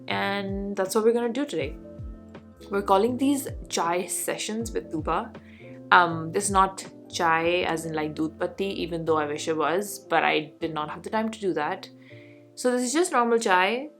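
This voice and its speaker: Chatty young woman vlogger from Pakistan, lowish voice, casual and full of fillers, faint music underneath. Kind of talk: casual chat to camera.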